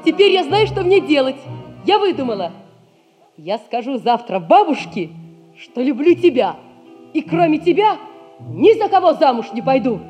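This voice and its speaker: An actress playing a headstrong young merchant's daughter in love, wilful and coaxing, in a 1960s theatre radio play. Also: female voice, sits high, sing-song, normal speed, dark tone, soft background music. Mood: determined. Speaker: stage actress.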